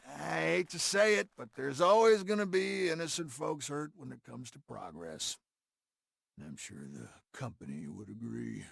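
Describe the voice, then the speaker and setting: A roguish frontier quartermaster from a video game, heavily gravelly and husky. He talks in a brash, folksy American drawl that swings widely, with a cynical streak. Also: mid-range voice, lively intonation, moderate pace, fairly bright tone, no echo, clean studio sound, heavily acted. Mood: callous. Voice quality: gravelly, raspy. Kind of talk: video game cutscene acting.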